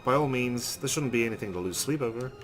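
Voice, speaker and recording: A man hosting a let's play, reading a visual novel's narration aloud in an expressive storytelling way. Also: medium pitch, fast. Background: background music with guitar.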